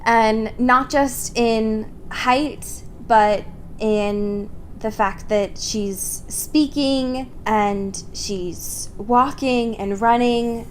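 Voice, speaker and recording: A young woman with a fairly high voice recaps a book for a podcast. She is casual, sweary and exasperated, slipping into mock quotes. There is noticeable noise in the recording. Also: fairly even intonation, extremely slow, poor audio. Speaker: podcast co-host. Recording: clear background noise.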